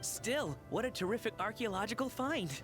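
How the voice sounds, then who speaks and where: High-voiced earnest male scholar. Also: lively intonation, normal speed. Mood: earnest, fascinated. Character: eager, earnest male academic archaeologist. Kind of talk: video game voice acting. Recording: game music behind voice.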